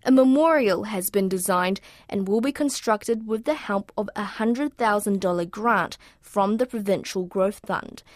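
A woman presenting a radio documentary story, narrating in a measured, clear way and interviewing guests. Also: medium pitch, a little mellow, dry recording, studio quality.

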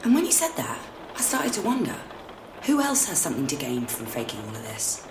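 A woman's voice: extremely low pitch, huge pitch swings, a little fast, roomy sound, background noise, full character voice. An actress playing a bold, blunt young woman, a confrontational friend in an audio drama. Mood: accusing. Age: young adult. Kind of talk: naturalistic audio drama acting.

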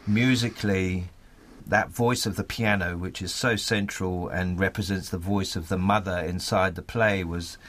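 A man's voice: low-pitched, fairly even intonation, moderate pace, a bit bright, no room sound. A man talking earnestly and articulately in an interview, often repeating phrases for emphasis. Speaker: playwright. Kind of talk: thoughtful interview talk.

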